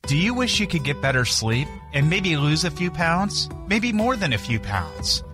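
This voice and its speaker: A man's voice: a little high, big pitch swings, normal speed, over music. A man reading a radio commercial in a friendly, selling tone. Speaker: commercial announcer. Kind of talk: scripted ad read.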